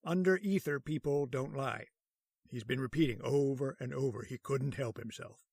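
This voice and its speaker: A man acting a calm, learned hospital doctor in a radio horror drama, speaking in a measured, authoritative way. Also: mid-range voice, creaky, husky, no room sound, clean studio sound. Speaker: voice actor.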